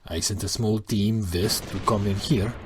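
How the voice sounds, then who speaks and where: A man's voice: fairly low pitch, fairly even intonation, normal speed, natural voice. A man playing a methodical, confident militia lieutenant in an audio drama. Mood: tactical, focused. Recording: noisy, battle gunfire effects behind. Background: gunfire, clicks.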